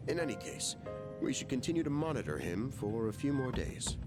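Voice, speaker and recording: A man voicing a genial, enigmatic middle-aged chairman in a video game, with a measured, explanatory manner. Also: mid-range voice, sing-song, natural voice. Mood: calm musing. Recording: loud music behind the voice.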